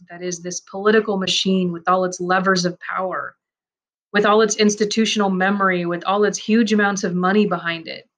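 A woman narrating a man's first-person story aloud, in a measured, reflective and earnest way. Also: medium pitch.

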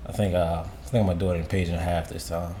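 A man's voice: sits low, flat delivery, very fast, noisy background. A young college student co-hosting a casual podcast. He chats in an easygoing, slangy way and chuckles a lot. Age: young adult.

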